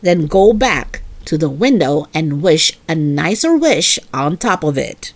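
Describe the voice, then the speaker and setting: Exasperated mom for children's storybook woman reader: commanding, emphatic, lower-pitched, no-nonsense scolding. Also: big pitch swings, measured pace, no room sound, heavily acted. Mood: stern. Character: stern, exasperated adult mother, firm and commanding. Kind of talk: animated children's storybook reading.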